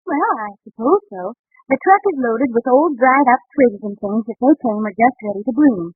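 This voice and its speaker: An actress playing a sensible, caring young wife and mother in a 1940s radio comedy, inquisitive and gently scolding, on a muffled old recording. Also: a little high, lively intonation, normal speed, breathy edge, no room sound. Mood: suspicious, concerned.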